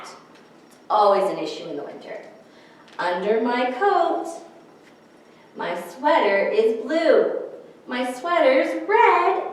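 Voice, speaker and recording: A woman hosting a storytime for small children, teaching and reading aloud in simple, encouraging language. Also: a little high. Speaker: children's library storytime host. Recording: echoey room, distant mic, faint noise.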